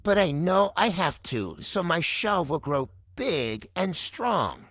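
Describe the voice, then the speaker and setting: Woman voicing a slow, husky little boy turtle. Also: lowish voice, very animated, no echo, voice pushed down, exaggerated voice. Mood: resigned, brave. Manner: slow, hesitant, wobbly. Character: scared young boy turtle, earnest and sleepy.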